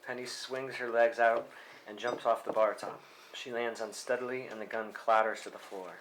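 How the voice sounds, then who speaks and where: A man's voice: medium pitch, steady tone, moderate pace. A man plainly reading stage directions at a script table read, now and then stumbling over a word. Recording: faintly noisy live room recording.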